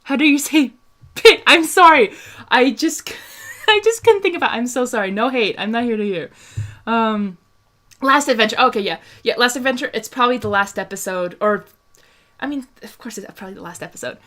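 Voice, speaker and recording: A woman's voice: fairly high pitch, animated, a little fast, sharp tone. A young woman from Hungary hosting a casual fan discussion, chatting through viewer questions with lots of laughs, fillers and restarts. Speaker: host answering viewer questions.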